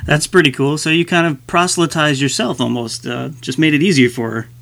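A man's voice: a little high, a little fast, creaky, a bit bright. A man hosting a radio interview, who often chuckles and nods along with short affirmations. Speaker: radio show host.

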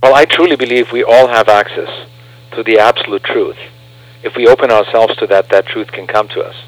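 A man's voice: mid-range voice, fairly quick, no echo, rough recording. An earnest man, a guest on a radio interview, explaining his views through rhetorical questions. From Austria.

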